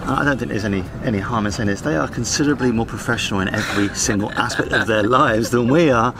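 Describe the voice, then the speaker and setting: A man's voice: mid-range voice, quick pace. A man in his forties chatting casually to camera as a sailing vlogger. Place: outdoors on a sailing catamaran. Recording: noisy outdoor boat ambience.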